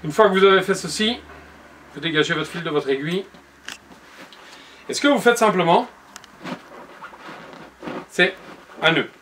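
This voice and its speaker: A man's voice: high voice, expressive, moderate pace, long pauses, fairly bright tone, a little hiss or hum. A man in his forties patiently giving step-by-step upholstery instructions in his workshop showroom, recorded on the camera mic. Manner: patient, explanatory, addresses viewer directly. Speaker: upholsterer demonstrating cushion making.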